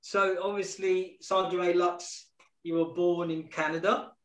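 A middle-aged man who hosts a radio show, asking questions in a halting, repetitive way over a video call from home. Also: high-pitched, level tone, fairly bright tone. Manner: hesitant, repeats words as he asks questions. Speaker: radio DJ and host. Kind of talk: casual interview chat. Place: home room, indoors. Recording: echoey room on a video call.

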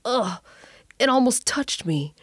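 A woman's voice: a little high, expressive, slow pace, breathy edge, dry recording, studio quality, pitched above the performer's own voice, exaggerated voice. A woman voicing a brash, gluttonous female starship captain in a sci-fi audio story. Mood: disgusted.

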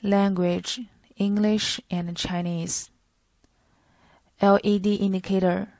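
A woman's voice: medium pitch, flat delivery, takes it slowly, dark tone, dry recording, clean studio sound. A woman reading a scripted product tutorial in a stilted, phrase-by-phrase way. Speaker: product demonstrator.

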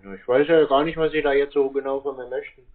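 A man's voice: mid-range voice, steady tone, fast talker, faint music behind. A man giving short, casual, clueless-sounding replies on a phone call. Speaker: person receiving a sales call. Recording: narrow-band phone line sound.

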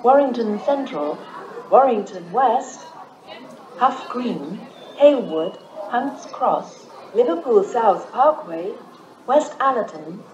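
A British woman makes formal automated train station announcements. She speaks slowly, in measured, pieced-together phrases. The sound is echoey, with clear noise behind her. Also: on the high side, lively intonation, darkish tone, lo-fi sound, a faint crowd. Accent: British English.

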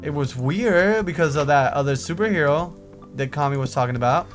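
Male gaming host with a slightly high voice, casual roleplay chat, faint music behind. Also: fairly quick. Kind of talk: casual Minecraft roleplay banter.

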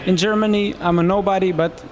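A man in his thirties from Romania, speaking English, answering interview questions into a handheld mic in a small room, with halting phrasing and frequent restarts. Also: sits high, fairly even intonation, darkish tone. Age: 30s. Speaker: marathon runner interviewee.

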